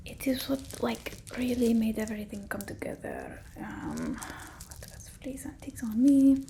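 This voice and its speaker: A woman voicing a quirky, forgetful vampire potion-maker in a put-on Eastern European accent, breathy and rambling in soft ASMR style. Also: a little high, wildly swooping pitch, slight background noise, exaggerated voice. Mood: pleased, muttering. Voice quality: breathy, whispery. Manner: rambling, absent-minded muttering, slow and halting. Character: quirky forgetful female vampire potion-maker with old-world folk remedies. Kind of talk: ASMR roleplay, whispered and soft-spoken.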